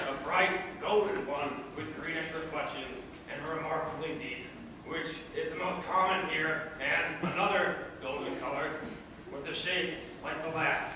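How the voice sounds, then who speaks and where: A man reads aloud on stage as a comic storyteller, theatrical and mock-solemn. His fairly high male voice comes from a distance in a very echoey room, with clear background noise. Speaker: storyteller reading to a live audience. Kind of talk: comic literary reading on stage. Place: echoey venue before a live audience.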